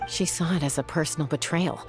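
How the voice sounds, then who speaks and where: An actress voicing an earnest young woman raised among saurians, speaking in a soft, measured, reflective way. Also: low voice, music in the background, lightly acted. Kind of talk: game voice acting.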